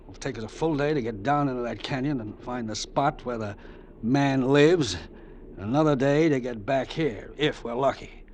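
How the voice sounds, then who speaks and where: An actor playing a ruthless, scornful crime boss in an old-time radio drama, giving orders with cutting authority. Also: male voice, medium pitch, moderate pace, a little mellow, a little hiss or hum, close to an everyday voice. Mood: calculating, commanding.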